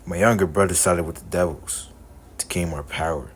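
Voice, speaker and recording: A man voicing an earnest, guarded young male devil hunter from another universe, delivering animated-story dialogue. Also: low voice, moderate pace, husky. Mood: earnest, reflective. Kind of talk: animated-series character dialogue, recorded in repeated takes.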